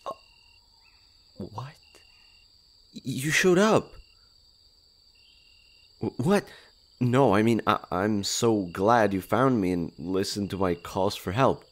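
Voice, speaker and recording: A man's voice: lowish voice, expressive, slow pace, pauses often. A man playing a shy, nervous, friendly skinwalker in human disguise in a roleplay audio drama, hesitant and stammering. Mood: flustered, awkward.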